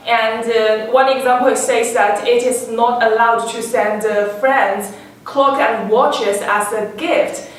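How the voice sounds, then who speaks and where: A woman's voice: fairly high pitch, steady tone, slow, recorded from a distance. Woman host, casual panel chat, laughs often, very echoey room.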